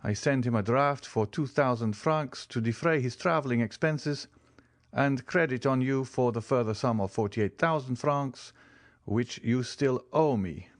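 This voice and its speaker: A man voicing a cool, composed wealthy count who speaks in a measured way. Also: medium pitch, dry recording, studio quality, voice pushed up, natural voice.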